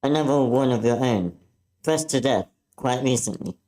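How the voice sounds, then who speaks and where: A woman's voice: extremely low pitch, lively intonation, moderate pace, warm tone, rough recording. A woman playing a tough, no-nonsense witch mentor, brusque and fearless, at a screenplay table read. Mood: dry, brusque.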